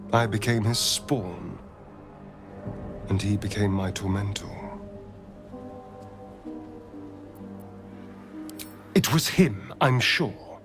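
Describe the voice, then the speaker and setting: An actor playing a haunted, sardonic centuries-old vampire spawn in a fantasy game, speaking with bitter wit and dramatic pauses. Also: male voice, medium pitch. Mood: brooding, resentful. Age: centuries-old undead. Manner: theatrical, wry, bitter, deliberate with dramatic pauses.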